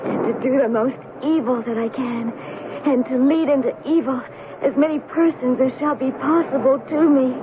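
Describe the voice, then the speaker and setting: An actress voicing a young woman who slowly chants a devilish vow in a trance, in 1970s radio drama style. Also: high-pitched, close to an everyday voice. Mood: entranced. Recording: tinny, hissy old radio broadcast, voice set back from the mic.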